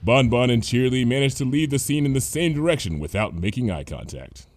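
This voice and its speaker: A man reading a scripted comedic story as narrator, in an expressive storytelling manner. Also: mid-range voice, fairly quick.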